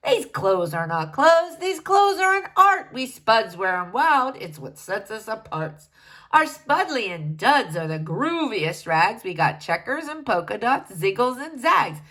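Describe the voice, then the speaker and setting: A woman's voice: on the high side, sing-song, a little slow, fairly bright tone, heavily acted. A woman voicing a laid-back hippie shaman man who drawls slang in a storybook read-aloud. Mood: proud, emphatic. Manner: drawling slang, calling listeners dude.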